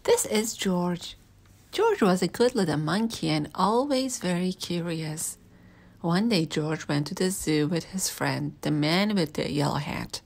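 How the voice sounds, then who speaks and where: A woman's voice: on the low side, animated, a little slow, vocal fry, slight background noise. A woman reading a children's picture book aloud as a storyteller.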